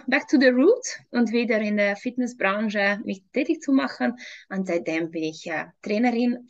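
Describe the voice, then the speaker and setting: Slow, hesitant Hungarian-accented woman. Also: a little high. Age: 30s. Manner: hesitant, many ums, laughs often. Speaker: interview guest, fitness trainer. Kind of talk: casual interview answers. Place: home room. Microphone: video call. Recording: lo-fi video call audio.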